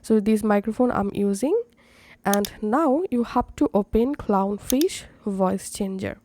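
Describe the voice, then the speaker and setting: A woman hosts a software tutorial, guiding the listener step by step. Her tone is soft and dark, and she takes her time over each instruction at a middling pitch. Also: measured pace. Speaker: tutorial host.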